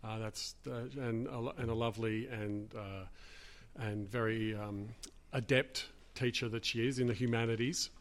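A man, a guest speaking in a measured, thoughtful way. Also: lowish voice, steady tone. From Australia. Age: 50s. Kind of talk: casual interview.

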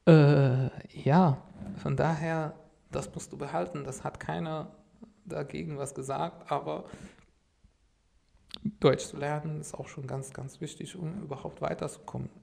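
Gravelly native Arabic-speaking man from Syria. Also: on the high side, steady tone, unhurried, warm tone. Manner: rambling, many fillers and restarts, "sag ich mal" habit. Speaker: podcast guest. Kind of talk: casual conversational storytelling.

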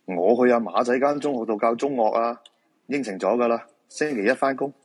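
A man plays a weary, casual middle-aged husband and feng shui master in a radio drama. His voice is husky and medium in pitch, with a Hong Kong Cantonese accent. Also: a little fast, close to an everyday voice. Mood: casual, defensive. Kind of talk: live radio drama dialogue.

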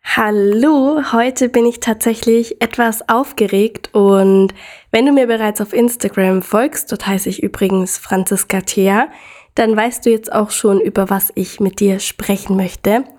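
A young woman hosting her own podcast, talking casually and enthusiastically straight to the listener, her voice slightly hoarse from a cold. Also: medium pitch, fairly even intonation, unhurried, a little mellow. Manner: upbeat, enthusiastic, addresses listener directly. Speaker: podcast host. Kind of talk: casual solo podcast talk.

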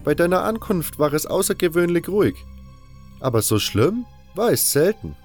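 Casting: narrator doing a sarcastic, rough-spoken fantasy camp boss, raised pitch, over faint music. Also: male voice, big pitch swings, moderate pace, darkish tone. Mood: worried. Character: sardonic, gruff camp organiser, a man, dryly complaining. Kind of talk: fantasy audiobook character dialogue.

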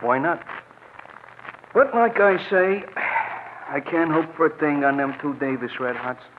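A man's voice: a little high, a little slow, lightly acted. An American man playing a cagey, slangy bar-owner informant in 1940s radio drama style. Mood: cagey, familiar. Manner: ungrammatical underworld slang. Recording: noisy, tinny old radio recording.

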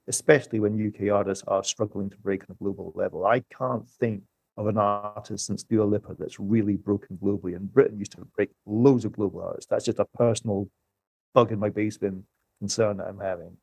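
A man's voice: lowish voice, creaky, a little mellow, slightly rough, no room sound. A man speaking as an economist guest on a podcast, explaining things step by step with emphasis.

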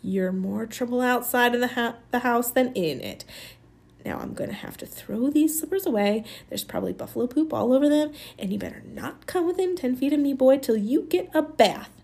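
Storybook woman acting a young girl: indignant, commanding, grumbly, high and very animated. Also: normal speed, hardly any pauses, breathy edge, exaggerated voice. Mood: grumbling. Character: bossy, furious, scolding young girl.

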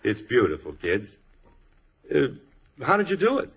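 An actor playing a genial, slightly bumbling family father in an old radio comedy-drama, affable and halting. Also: medium pitch, wildly swooping pitch, a bit bright, husky, heavily acted. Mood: easygoing. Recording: old radio broadcast with audience sound.

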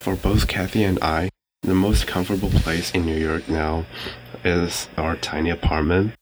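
Deep-voiced young man, reflecting casually. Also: level tone, moderate pace, background noise. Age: young adult. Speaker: interview guest.

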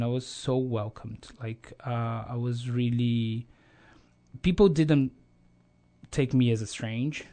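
A man's voice: medium pitch, mellow. Earnest Brazilian man with fillers. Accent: Brazilian Portuguese. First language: Portuguese. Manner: hesitant, many fillers and restarts, earnest. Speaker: guest. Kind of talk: casual interview conversation.

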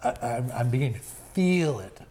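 An older man with a hoarse voice, talking slowly with many fillers in a casual family interview. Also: medium pitch, lively intonation, warm tone. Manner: slow, halting, many fillers. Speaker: grandfather being interviewed.

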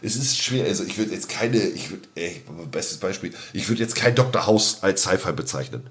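A man's voice: deep voice, animated, normal speed, fairly bright tone. A man co-hosting a podcast in casual fan chat, hesitant and roundabout, often repeating himself. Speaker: podcast co-host.

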